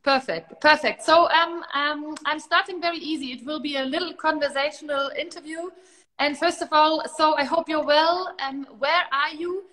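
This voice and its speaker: A woman's voice: high voice, steady tone, moderate pace, sharp tone, big-room sound. A woman in her thirties with a German accent, hosting a livestream interview as curator, friendly with many ums. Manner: friendly, filler-heavy introductions. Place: large open gallery space, indoors.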